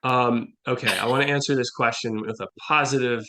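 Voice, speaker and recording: A man gives casual interview answers as a guest playwright. His voice is mid-pitched with a bright, forceful edge. He speaks haltingly, working out his thoughts aloud. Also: steady tone. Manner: halting, thinks aloud, acts out imagined remarks.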